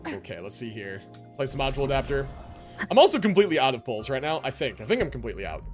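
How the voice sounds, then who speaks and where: A man's voice: medium pitch, big pitch swings, fast talker, soft background music. A man casually commentating a live game stream, chatting with viewers in rambling, informal gamer slang.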